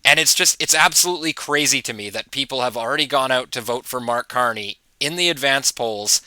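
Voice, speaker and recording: A man delivers casual opinion commentary to his viewers in a very bright, forceful voice. He is informal and mocking, dropping in amused asides. The sound is dry and close. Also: medium pitch, dry recording, poor audio. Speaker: host of political commentary channel.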